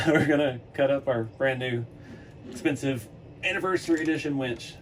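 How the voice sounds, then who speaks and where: A man with a middle-pitched voice, talking through a relaxed workshop tutorial in a home garage. He is chatty and easygoing, fills pauses often, and sounds a bit hoarse. Faint ambient noise sits behind him. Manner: laid-back, chatty, frequent "uh" and chuckles. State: hoarse, losing his voice. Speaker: RC hobby channel host.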